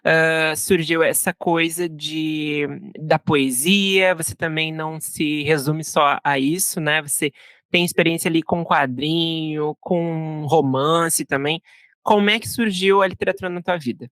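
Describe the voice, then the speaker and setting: A woman's voice: low voice, steady tone, a little slow, fairly bright tone, no room sound. A woman hosting a podcast, asking casual, hesitant questions. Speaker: podcast host.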